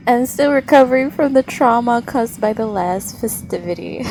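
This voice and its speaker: A woman livestreaming a story game, reacting casually and excitedly with gushing, giggly fangirl comments. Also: a little high.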